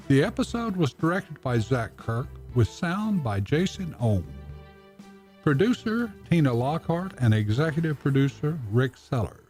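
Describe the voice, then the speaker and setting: A male radio announcer with a fairly high voice and lively intonation. He reads scripted closing credits over soft music. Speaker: announcer reading cast credits.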